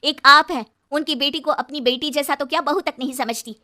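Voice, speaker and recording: Bright, indignant young woman, acted out. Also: sits high, steady tone, brisk. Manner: confronting, reproachful. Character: outspoken young married daughter, indignant and righteous.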